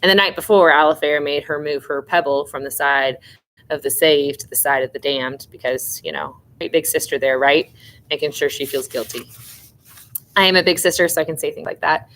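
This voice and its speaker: A woman's voice: deep voice, steady tone, a little fast, fairly bright tone, poor audio. A woman teacher reading a novel aloud expressively, with informal asides to her students.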